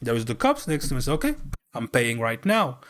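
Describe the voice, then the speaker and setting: A man telling a story on a live stream, animated and swearing freely as he acts out a heated argument. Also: medium pitch, fast talker.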